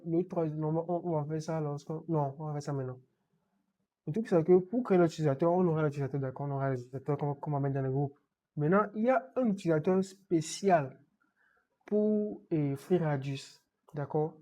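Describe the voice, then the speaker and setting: A man with a head cold walks through a computer setup in an unscripted screencast tutorial. He stumbles and repeats words, pausing often to check in with the viewer. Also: fairly high pitch, mellow. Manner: halting, many repeated words and restarts. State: has a cold, clearing throat. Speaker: IT tutorial presenter.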